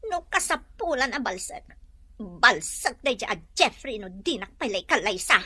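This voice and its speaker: A woman's voice: fairly high pitch, sing-song, unhurried, bright, forceful tone, breathy edge, no room sound, full character voice. A woman playing a scorned, vengeful female character in an Ilocano drama. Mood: bitter, vengeful. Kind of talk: Ilocano radio-style drama acting.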